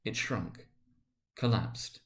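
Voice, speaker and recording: A man narrating a classic story as a dramatic reading, in a theatrical, expressive manner. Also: on the low side, drawn-out words.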